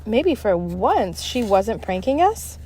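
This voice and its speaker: A woman reading a children's book aloud in an expressive, emphatic storytelling style. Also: medium pitch, slow pace, slightly rough. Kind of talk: read-aloud story narration.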